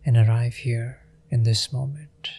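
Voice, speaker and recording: A man leading a guided meditation in a gentle, unhurried manner with long pauses. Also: mid-range voice, steady tone, slight background noise.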